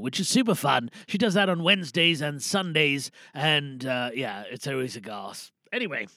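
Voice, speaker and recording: A man's voice: medium pitch, gravelly, sharp tone, no echo, clean studio sound. A man hosting an audio theatre podcast, chatting casually to listeners in a rambling way. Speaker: podcast host and voice performer.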